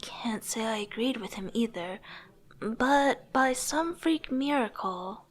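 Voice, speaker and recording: A woman's voice: a little high, expressive, takes it slowly. A woman playing a rebellious, wistful young artist who tells her own life story in earnest scripted narration and dialogue. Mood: reflective, wistful. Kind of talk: scripted first-person narration with acted dialogue.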